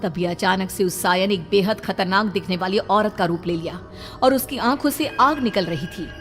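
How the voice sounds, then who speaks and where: A woman's voice: mid-range voice, normal speed, faint music behind. A woman narrator reading a scripted horror story in a dramatic style.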